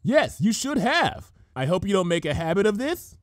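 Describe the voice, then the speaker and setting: A man's voice: sits high, big pitch swings, moderate pace, no echo, clean studio sound, pitched above the performer's own voice. A man voicing a bookish, prim young female unicorn who is easily flustered and speaks precisely. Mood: stern.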